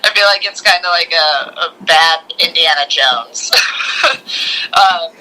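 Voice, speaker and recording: A woman co-hosting a podcast, chatting informally about movies with halting starts and bits of mimicked dialogue. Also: sits low, pushed and bright, slight background noise, poor audio. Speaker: podcast co-host. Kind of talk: casual movie review chat.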